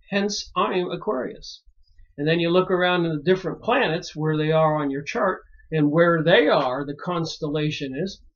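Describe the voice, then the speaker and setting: A man from Pennsylvania musing aloud in a rambling solo monologue, pausing often and filling gaps with "uh". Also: on the high side. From Pennsylvania, United States. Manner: slow and musing, many pauses and fillers. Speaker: video commentator. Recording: dull lo-fi recording.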